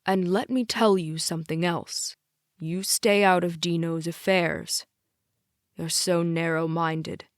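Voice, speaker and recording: A woman's voice: fairly low pitch, steady tone, takes it slowly, dry recording, studio quality. A woman voicing a stern, controlling father in an audiobook, speaking in a commanding, clipped way. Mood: cold, warning. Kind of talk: audiobook character dialogue.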